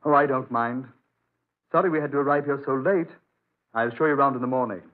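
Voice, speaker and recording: An actor playing a guarded, protective doctor and father in an old-time radio drama, speaking briskly and firmly. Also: mid-range voice, natural voice. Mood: genial. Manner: brisk, firm, evasive. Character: reassuring but guarded doctor, protective father on remote island. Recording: muffled narrowband old radio recording.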